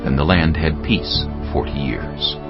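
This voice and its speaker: A man narrating scripture from a script as a measured storyteller. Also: extremely low pitch. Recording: dull lo-fi audio, faint music. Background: music.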